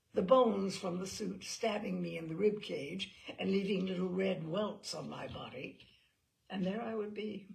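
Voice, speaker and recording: A woman with a heavily creaky, gravelly voice reads a humorous personal essay aloud. She takes her time, swooping widely in pitch and leaning on key words with wry, theatrical emphasis. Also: rough recording. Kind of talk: expressive dramatic reading of a comic personal essay.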